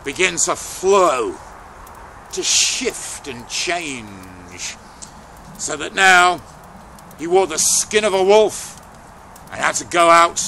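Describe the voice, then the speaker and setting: A man telling a folktale aloud in a dramatic, deliberate way, with pauses between phrases. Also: a little high, creaky, sharp tone, slight background noise. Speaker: storyteller. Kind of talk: oral folktale storytelling, unscripted.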